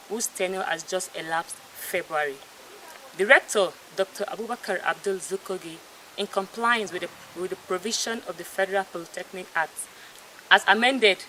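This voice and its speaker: A young woman with a Nigerian accent delivers a scripted student news report. Her voice is bright and forceful at a medium pitch. Faint noise sits behind her. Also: poor audio.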